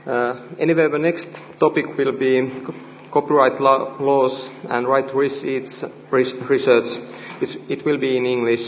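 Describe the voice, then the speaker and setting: A man with a Finnish accent, a native Finnish speaker, giving a halting conference lecture full of "uh" fillers. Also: mid-range voice, flat delivery. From Finland. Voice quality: hesitant, halting, many uh fillers and restarts. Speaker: conference presenter. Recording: echoey hall, dull lo-fi sound, faint hum.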